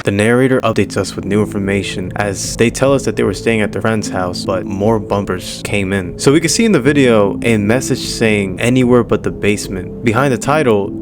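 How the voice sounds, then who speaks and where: Male video host, lowish voice, explanatory commentary with music clearly underneath. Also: fairly quick, few pauses. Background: prominent music.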